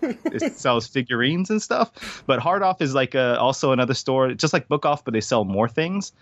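A man's voice: medium pitch, expressive, normal speed, fairly bright tone, no echo. An American man from Hawaii chatting casually as an interview guest, rambling, slangy and joking. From Hawaii, United States. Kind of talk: casual chat.

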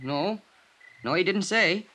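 A man playing a nervous, slow-witted rural farmhand in an old radio drama, halting and repeating himself defensively. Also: high-pitched, animated, pushed and bright, heavily acted. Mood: timid, flustered. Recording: noisy vintage radio recording.

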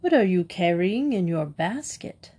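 A woman's voice: fairly low pitch, expressive, measured pace, dark tone, breathy edge. A woman voicing a crafty male wolf in a storybook, coaxing and falsely sweet. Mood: falsely friendly. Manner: coaxing, scheming. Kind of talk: storybook character voice.

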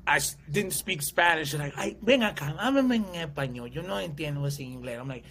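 A man in his thirties from the United States chats as an interview guest, telling stories and bouncing into the voices of the people he quotes. His voice has a gravelly edge and sits a little high for a man. A faint hiss runs underneath. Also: brisk. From USA. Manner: animated storyteller, acts out voices and quotes. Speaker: guest comedian and actor. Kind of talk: casual interview chat.

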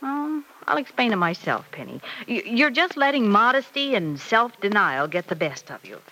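An actress playing a patient, gently probing middle-aged mother in an old-time radio serial, speaking in a measured, coaxing manner. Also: mid-range voice, sing-song, exaggerated voice, poor audio. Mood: earnest, coaxing. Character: gentle, probing mother advising her grown daughter.

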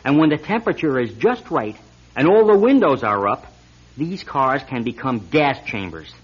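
An actor playing an earnest, insistent auto lab technician turned whistleblower in a radio drama, hesitant at times and urgent at others. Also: male voice, medium pitch, big pitch swings, moderate pace, husky. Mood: earnest, explaining. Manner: hesitant, then urgent and emphatic. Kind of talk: 1970s-style radio drama acting. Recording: dull lo-fi radio recording.

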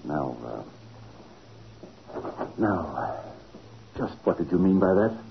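Smug, deep-voiced crooked boss. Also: male voice, expressive, slow. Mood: feigned innocence. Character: smug crooked building contractor, sly male boss. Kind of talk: 1940s radio acting. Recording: dull, noisy old radio recording.

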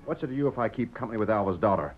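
An actor playing a plain-spoken, hot-headed young deputy in a radio western, with folksy American cowboy talk. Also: male voice, fairly low pitch, animated, rapid-fire. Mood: indignant. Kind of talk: old-time radio western acting. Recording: dull, lo-fi old radio recording.

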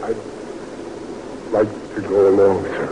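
An actor playing a humble, despondent young soldier in a vintage radio drama, plain-spoken and deferential. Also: male voice, low-pitched, flat delivery, measured pace. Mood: subdued. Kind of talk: 1940s-50s radio drama acting. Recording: faintly noisy old radio broadcast.

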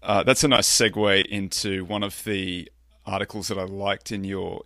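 Low-voiced man hosting a podcast. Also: fairly bright tone, dry recording, studio quality. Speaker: podcast host. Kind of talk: interview question.

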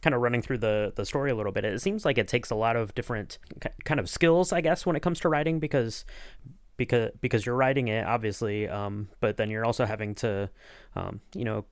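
Man, medium pitch, podcast interviewer, casual, agreeable, hesitant rambling delivery, very dry recording. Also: brisk, no echo.

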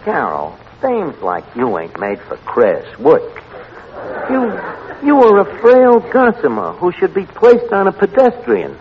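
An actor playing a brash, lovestruck New tavern manager who mangles fancy words, in 1940s radio comedy style. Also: male voice, high-pitched, huge pitch swings, a little slow, full character voice. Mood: smitten, flowery. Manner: pompous malapropisms, mock-poetic wooing. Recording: noisy, muffled old radio broadcast recording.

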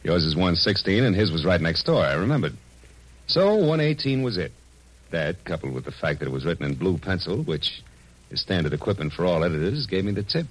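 An actor playing a wry, easygoing, flirtatious private detective in a 1940s radio drama. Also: male voice, sits low, very animated, a little fast, husky, full character voice, low-quality recording. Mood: wry, friendly. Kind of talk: 1940s radio drama acting and first-person narration. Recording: old radio recording with music behind.

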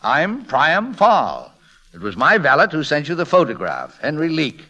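A shy older gentleman for an old radio play. His voice is bright and forward, swinging widely in pitch as he hesitates, stammers and gets flustered. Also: wildly swooping pitch, moderate pace, exaggerated voice. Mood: confessing. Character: shy, mild older English gentleman painter, easily flustered. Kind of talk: classic radio drama acting.

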